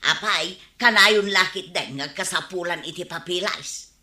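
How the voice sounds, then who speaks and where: An actress playing a teasing village gossip who relishes scandal in an Ilocano comedy radio drama, insinuating, with an Ilocano accent. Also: female voice, on the low side, unhurried, sharp tone, roomy sound.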